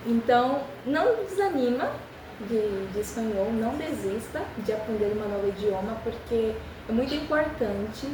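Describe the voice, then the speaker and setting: A young woman who is a native Spanish speaker, speaking Portuguese with a Spanish accent and teaching beginners with an encouraging manner, repeating phrases slowly for practice. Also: on the high side, animated, reverberant, recorded from a distance, noisy background. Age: young adult. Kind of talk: beginner language lesson.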